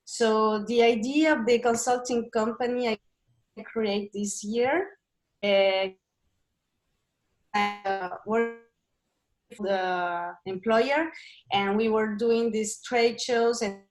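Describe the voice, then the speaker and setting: Woman with a higher voice on a video call. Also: fairly even intonation, rough recording. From Chile and Brazil. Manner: halting, searching for words. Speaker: interview guest, business consultant. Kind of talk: casual interview over video call.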